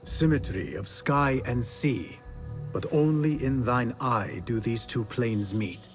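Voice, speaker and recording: Young male game assassin, polite n measured, formal talk, mid pitch, bit of music under it. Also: lively intonation. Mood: courteous, smooth. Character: courteous young male assassin in medieval Baghdad. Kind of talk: video game cutscene acting.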